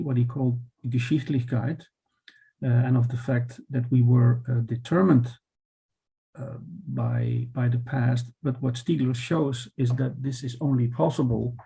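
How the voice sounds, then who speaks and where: A man's voice: medium pitch, vocal fry, dark tone, husky, poor audio. A man with a Dutch accent explaining philosophy in conversation, thoughtful and hesitant, often restarting and checking with "right?". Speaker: philosopher guest.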